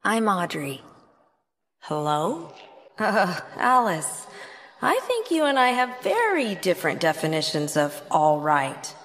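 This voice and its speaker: A woman playing an anxious but plucky, kind-hearted young animator in a horror video game, expressive and often talking to herself. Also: fairly low pitch, slow pace, in a large hall, heavily acted. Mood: bewildered, wary. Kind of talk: dramatic horror game voice acting.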